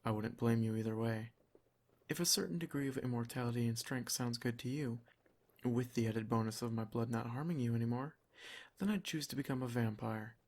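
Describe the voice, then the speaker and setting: A man voicing a protective, possessive vampire lord in an ASMR roleplay, speaking in a measured, reassuring, gently commanding way. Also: mid-range voice, close to an everyday voice. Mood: teasing, reassuring. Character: possessive, protective male vampire lord, soft-spoken and teasing. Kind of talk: ASMR roleplay, scripted intimate monologue.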